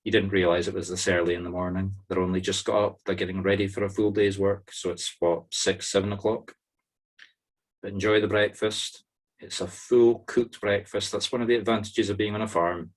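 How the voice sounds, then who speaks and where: A Scottish man leading a guided meditation on a video call, soothing, with pauses between short, simple instructions. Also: deep voice, level tone. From Scotland. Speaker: spiritual medium leading a meditation group. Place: online video-call circle.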